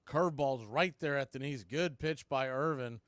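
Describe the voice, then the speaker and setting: A man doing live baseball play-by-play on radio, speaking in clipped phrases with pauses between calls. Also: medium pitch, dry recording.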